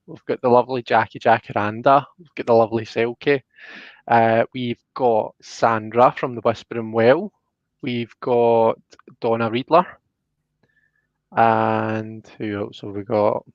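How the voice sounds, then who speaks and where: A man's voice: medium pitch, no echo, dull audio. A man hosting a casual live stream in a chatty, informal, friendly way. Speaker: host.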